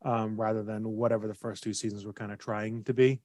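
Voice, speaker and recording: A man's voice: lowish voice, level tone, fairly quick, a little mellow, no echo, clean studio sound. A man giving his opinions casually as a panelist on a discussion podcast. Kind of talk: casual chat.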